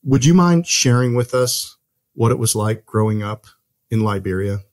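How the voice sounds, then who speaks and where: A man's voice: mid-range voice, expressive, moderate pace. A man hosting a podcast interview in a friendly, curious way, speaking with a few ums. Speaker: podcast host. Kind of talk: conversational interview.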